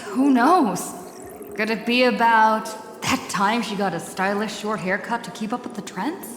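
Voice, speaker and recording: A woman's voice: a little high, unhurried, close to an everyday voice. An actress playing an earnest young woman traveller in a video game, conversational and persuasive. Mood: playful. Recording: echoey hall reverb, music behind.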